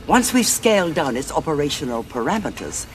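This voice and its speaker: A cold, calculating commander in a sci-fi audio drama. The delivery is precise and imperious, with pitch that moves freely. Music sits softly behind the voice. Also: female voice, very animated, a little fast. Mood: brisk, commanding. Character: cold, calculating senior Time Lord woman, ruthless war strategist.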